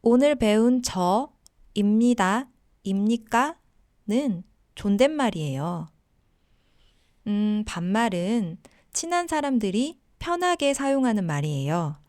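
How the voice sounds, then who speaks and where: A woman teaching a language lesson, giving model sentences and simple explanations in a deliberate way for learners. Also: mid-range voice, very animated, a little mellow, no echo, clean studio sound.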